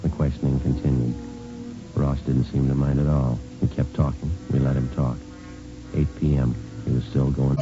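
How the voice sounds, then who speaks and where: A terse male police detective from an old radio crime show. His voice is rock-bottom low and very dark, delivered in clipped, unflappable deadpan. It comes off a muffled vintage recording. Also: moderate pace, soft background music. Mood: matter-of-fact. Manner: clipped, deadpan, matter-of-fact. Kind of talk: vintage radio police drama acting and narration.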